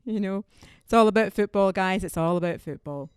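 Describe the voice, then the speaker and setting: A woman's voice: medium pitch, normal speed, smooth, no room sound, studio quality. A woman with a Glaswegian Scottish accent performing a stand-up comedy set in a teasing, chatty way. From Scotland. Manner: lively, teasing and chatty, with laughs. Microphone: close mic, online performance.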